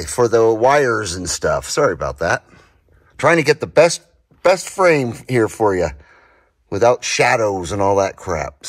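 A man's voice: mid-range voice, a bit bright. An American man narrating a casual hobby project vlog in a folksy, informal way. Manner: folksy, lightly swearing, informal. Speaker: host of a hobby radio channel. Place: home garage, indoors.

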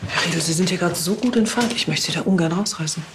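A woman playing a polite, businesslike police boss in a TV crime drama, smoothly dismissive. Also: fairly low pitch, fast talker, noisy background, lightly acted.

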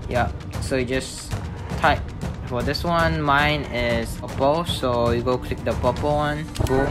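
A man giving a casual, step-by-step video game walkthrough in an informal, somewhat hesitant way. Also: mid-range voice. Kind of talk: casual game tutorial commentary.

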